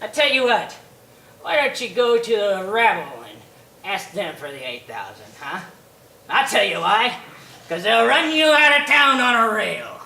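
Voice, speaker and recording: A woman voicing a cold, gloating rich old man who mocks slowly and drags out his words. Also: mid-range voice, big pitch swings, creaky, pushed and bright, roomy sound, slight background noise, full character voice, poor audio. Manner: slow, mocking, drawn-out delivery.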